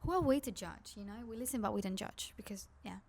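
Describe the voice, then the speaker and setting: A woman's voice: medium pitch, fast, a little mellow. A woman with a Spanish accent, a native Spanish speaker from Spain, vlogging in a rambling, confiding chat to camera. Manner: rambling, confiding, heavy use of "like".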